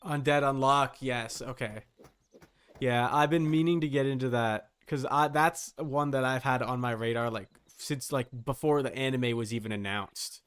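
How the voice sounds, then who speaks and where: A man's voice: mid-range voice, moderate pace, clean tone. A man hosting a game livestream, talking casually and informally in a rambling way, thinking aloud as he goes.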